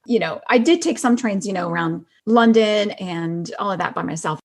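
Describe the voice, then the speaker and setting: A woman speaking as a guest in a casual chat, telling personal stories in an informal, halting way peppered with "like". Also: mid-range voice, animated. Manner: informal, halting, heavy use of "like". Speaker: podcast guest, actress.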